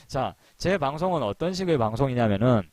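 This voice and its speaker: A man's voice: mid-range voice, animated, normal speed, a little mellow, dry recording. A man giving a casual recorded stock trading lecture, chatty and repetitive, with rhetorical questions and small laughs. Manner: chatty, repeats himself, laughs at own jokes; rhetorical questions, frequent fillers. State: sore throat from long phone calls.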